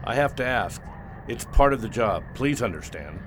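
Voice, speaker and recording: Clear noise sits behind a man acting as a folksy shopkeeper in a radio play. His mid-pitched voice is placating and sympathetic. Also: lively intonation, normal speed, lightly acted. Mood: apologetic. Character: folksy, sympathetic male shop buyer named Owen. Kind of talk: audio drama acting.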